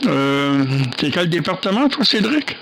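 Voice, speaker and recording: A man's voice: high-pitched, very animated, measured pace, vocal fry, background noise. A man with a Quebec French accent chatting casually on amateur radio, hesitantly passing turns around the group. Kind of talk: casual radio contact chat.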